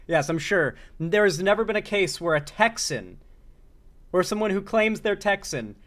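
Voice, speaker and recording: Male stream host reacting live, slightly raised pitch, loose and sarcastic. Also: expressive, moderate pace, a bit bright. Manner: casual, sarcastic, frequent "like". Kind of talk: live political commentary.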